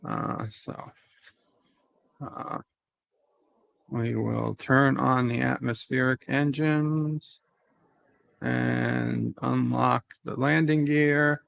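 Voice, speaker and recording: A man streaming a game, slowly and methodically talking through what he is doing. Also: mid-range voice, steady tone, gravelly, a bit bright, dry recording. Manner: slow, methodical, thinking aloud. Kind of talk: casual gameplay narration.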